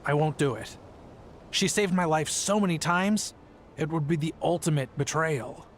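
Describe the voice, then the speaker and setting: A man's voice: a little high, normal speed, a little hiss or hum, natural voice. An actor voicing a guilt-ridden father in a video game, anguished and earnest.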